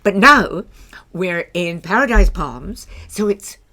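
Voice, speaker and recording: A woman's voice: fairly low pitch, animated, takes it slowly, bright, forceful tone. An older woman, hosting a chatty podcast interview in a blunt, wry manner. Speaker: podcast host. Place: small stage in a bar venue.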